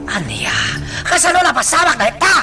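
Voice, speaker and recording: A man acting an impatient crime boss in an Ilocano radio drama, with a forceful, agitated delivery. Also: very high-pitched, wildly swooping pitch, a little fast, music in the background, heavily acted. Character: impatient male crime boss plotting drug deals.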